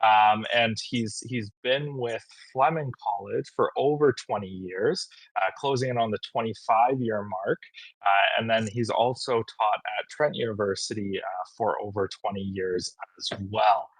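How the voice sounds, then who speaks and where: A man's voice: fairly low pitch, unhurried, breathy edge, dry recording, low-quality recording. A man hosting a college information webinar, chatty and upbeat, his speech full of small fillers and restarts. His voice sits mid-range and sounds close and dry, picked up by a video call microphone with no room sound. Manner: friendly, filler-heavy, upbeat. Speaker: webinar host, college recruitment manager. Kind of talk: casual webinar introduction.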